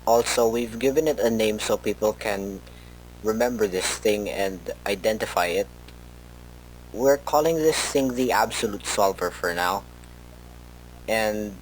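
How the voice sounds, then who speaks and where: A man acting as a worried male researcher on an analog horror tape, speaking very slowly in a lowish voice. He rambles and corrects himself as he goes. A faint noise and hum sit under the recording. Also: low-quality recording. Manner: hesitant, halting, rambling with self-corrections. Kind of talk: informal scripted monologue, analog horror audio log.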